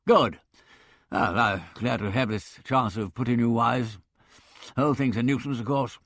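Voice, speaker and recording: A man voicing a blustering, boorish middle-aged country squire, hearty and loud in manner. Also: medium pitch, fairly even intonation, unhurried, no room sound, studio quality.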